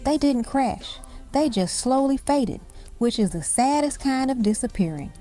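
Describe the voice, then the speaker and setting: A woman's voice: high voice, animated, unhurried, dark tone. A woman reading scripted documentary-style narration for a music countdown, in dramatic, punchy short phrases. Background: music behind the voice.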